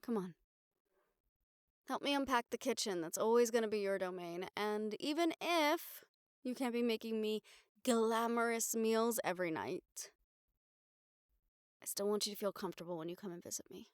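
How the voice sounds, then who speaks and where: A young woman playing an affectionate, teasing girlfriend in an intimate audio roleplay, speaking in a halting, tender and wry way. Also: on the high side, clear, resonant tone, no room sound, clean studio sound, natural voice. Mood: warm, coaxing. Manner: halting, tender, wryly teasing, casually sweary.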